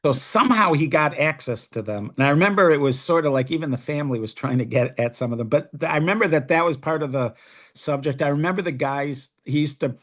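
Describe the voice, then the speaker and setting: A middle-aged man shares memories on a podcast, with a voice of ordinary pitch. He speaks in a halting way, restarting sentences and reaching for words as he goes. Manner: halting, searching for words with many fillers. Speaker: podcast co-host, rabbi. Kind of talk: casual reminiscing conversation.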